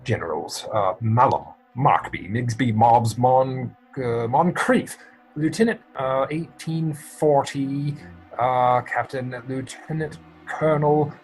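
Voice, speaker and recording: A man playing an earnest, excitable young gentleman in a theatrical stage comedy reading. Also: medium pitch, slow, soft background music, lightly acted, rough recording. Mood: urgent. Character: earnest, excitable young English gentleman seeking his true parentage. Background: musical score.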